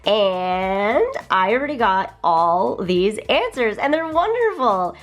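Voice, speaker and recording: Bubbly young woman with lively pitch. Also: takes it slowly. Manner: bubbly, enthusiastic, chatty. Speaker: vlogger reviewing a language app. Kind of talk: casual sponsored app walkthrough.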